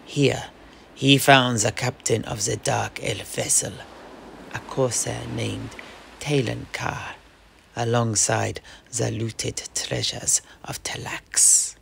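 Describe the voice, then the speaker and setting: A man plays a knight spinning a family sea yarn in an audio play. His medium-pitched voice has a strong creak and stresses the drama, pausing now and then, with faint ambience underneath. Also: steady tone, a bit bright, a little hiss or hum, heavily acted. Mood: dramatic recounting. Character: mercenary knight recounting his grandfather's sea adventure. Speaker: storyteller. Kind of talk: in-character dramatic narration for fantasy audio play.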